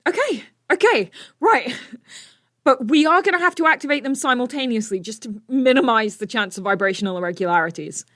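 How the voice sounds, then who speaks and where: A woman's voice: fairly high pitch, expressive, fairly quick, fairly bright tone, dry recording, clean studio sound. A woman playing a brainy, self-assured teenage superhero with a friendly, nerdy manner in an audio drama. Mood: excited, thinking aloud.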